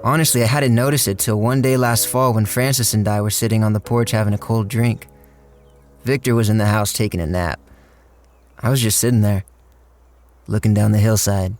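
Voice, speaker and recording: A man's voice: on the low side, steady tone, fairly quick, a little mellow, soft background music, lightly acted. An actor playing an easygoing handyman with a folksy, rambling way of telling his shady story in a radio drama. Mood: reflective, plainspoken. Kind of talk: radio drama first-person narration and dialogue.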